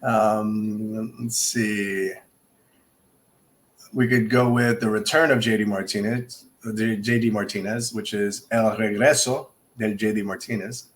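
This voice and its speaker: A man's voice: on the low side, steady tone, measured pace. A man appearing as a guest on a casual sports podcast, answering in a dry, teasing way and laughing easily. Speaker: podcast guest, former ballplayer.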